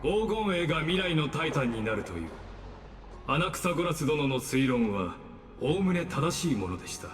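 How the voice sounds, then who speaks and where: A man playing a calm, coldly courteous mastermind in a game, speaking formally and with measured condescension. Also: on the high side, big-room sound, a little hiss or hum. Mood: smug, composed. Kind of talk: Japanese anime-style game voice acting.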